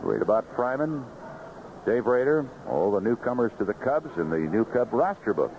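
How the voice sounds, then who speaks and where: A man's voice: medium pitch, big pitch swings, moderate pace. An American man calling live baseball play-by-play on the radio. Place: ballpark broadcast booth. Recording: noisy, muffled vintage AM radio broadcast.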